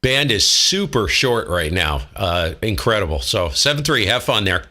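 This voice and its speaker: A man's voice: on the low side, sing-song, moderate pace, bright, forceful tone. An American man operating a ham radio, giving call signs and making casual side comments as host.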